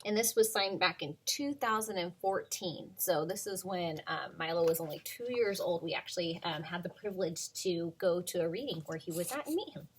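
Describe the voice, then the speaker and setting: A woman in her thirties, a preschool teacher, reading a picture book aloud to children in a gentle, sing-song way with many ums. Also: lowish voice, moderate pace, hardly any pauses, breathy edge.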